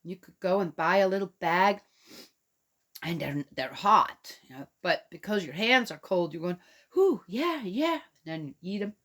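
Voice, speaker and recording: A woman from Switzerland chatting gently to young children and reading them a picture book aloud. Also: on the low side, animated, unhurried. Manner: gentle, chatty, talks directly to young listeners. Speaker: children's storyteller.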